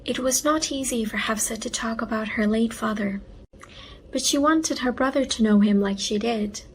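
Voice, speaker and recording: A woman reading a children's story aloud in an expressive storytelling style, carefully enunciated. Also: fairly high pitch, a little hiss or hum. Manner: unhurried, enunciated read-aloud.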